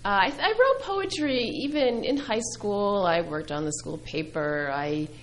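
A middle-aged woman who grew up speaking Greek, a poet answering interview questions thoughtfully, with many pauses and fillers. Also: medium pitch, lively intonation, a little hiss or hum, low-quality recording. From New England. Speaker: poet and filmmaker guest.

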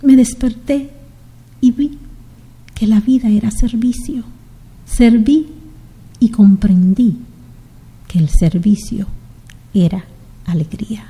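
Slow, dark-voiced Dominican woman host. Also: a little high, husky, in a large hall, rough recording. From Dominican Republic. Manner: unhurried, heartfelt, greets listeners by place. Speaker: radio show host. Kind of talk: slow, warm community radio sign-off.

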